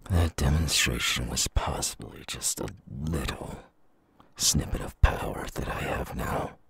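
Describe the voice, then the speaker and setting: Here is a menacing demon villain for an ASMR audio roleplay. His voice is extremely low, rasping with heavy fry and breath. He gloats and threatens at a slow pace, like a predator holding back his hunger. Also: a bit bright, no room sound, exaggerated voice. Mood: hungry, gloating. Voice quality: very husky, gravelly, breathy.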